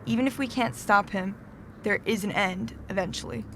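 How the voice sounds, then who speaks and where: A woman playing a concerned, reasoning friend in an audio drama, with a measured, sincere delivery. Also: medium pitch, a bit bright, slight background noise, lightly acted. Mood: earnest reasoning.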